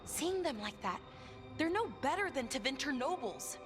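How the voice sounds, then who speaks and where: A woman playing a candid, earnest elven adventurer in a video game, talking in an expressive, conversational way. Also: high-pitched, moderate pace. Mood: disillusioned. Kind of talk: naturalistic video game dialogue. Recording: faint music behind the voice.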